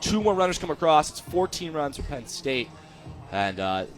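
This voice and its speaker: A man's voice: fairly high pitch, sing-song, normal speed, soft background music. An American man working as a color commentator on a live baseball broadcast, giving emphatic, opinionated analysis.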